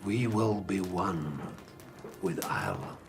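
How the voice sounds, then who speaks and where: An actor playing a determined medieval king, reading in a grave, measured, declaiming way for a documentary. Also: sits low, sing-song, gravelly, over music, heavily acted. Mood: yearning. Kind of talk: dramatic reading of a historical letter.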